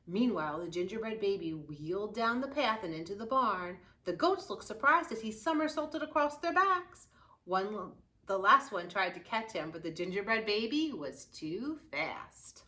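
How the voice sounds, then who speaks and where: Librarian lady reading a picture book to kids, bright voice, acts it out dramatically. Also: medium pitch. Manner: expressive, dramatic storytelling with drawn-out words. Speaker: youth services librarian reading aloud. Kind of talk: children's picture book read-aloud.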